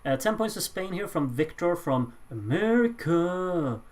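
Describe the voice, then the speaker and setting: Someone hosting a live points vote with casual commentary, announcing results with drawn-out pauses and slipping in dry, offhand asides. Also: mid-range voice, big pitch swings, darkish tone. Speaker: host announcing song contest voting results.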